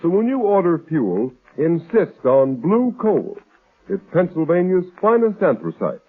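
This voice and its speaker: A man's voice: high-pitched, very animated, unhurried, slightly rough, narrow, tinny audio, exaggerated voice. A man announcing for a 1930s radio mystery serial in an emphatic, theatrical style on a vintage recording. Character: dramatic male sponsor announcer of a mystery serial.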